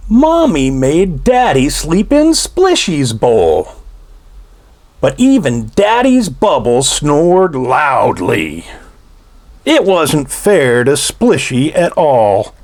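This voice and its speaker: A man reads a children's story aloud at a very slow, deliberate pace. His fairly high voice swings widely in pitch. The delivery is playful and theatrical, with phrases stretched out for young listeners. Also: huge pitch swings, a little mellow.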